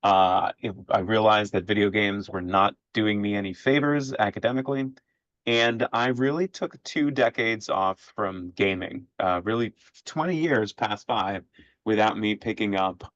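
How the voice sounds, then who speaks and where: A man in his forties from Sweden, a history professor speaking as a podcast guest in a measured way with many filler sounds. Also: lowish voice, fairly bright tone, no echo. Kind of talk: podcast interview. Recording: dull lo-fi audio, dry.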